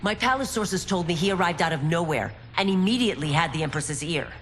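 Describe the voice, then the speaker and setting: An actress playing a proud, dutiful former royal guard in a video game, stern, formal and guarded in manner. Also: female voice, fairly low pitch, animated, a little fast, a bit bright, slight background noise. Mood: suspicious, troubled. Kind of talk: dramatic video game cutscene acting. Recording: clear music bed behind.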